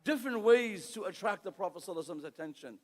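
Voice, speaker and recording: An impassioned male preacher with a high voice. His sermon rings with the long reverb of a large hall, and his Arabic recitation comes fast and fluent. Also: animated. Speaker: Islamic preacher. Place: lecture hall.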